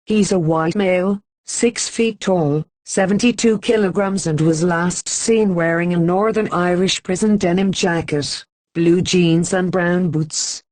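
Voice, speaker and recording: A woman reading a scripted emergency broadcast announcement in an even, formal manner with slow, deliberate pauses. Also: lowish voice, dry recording.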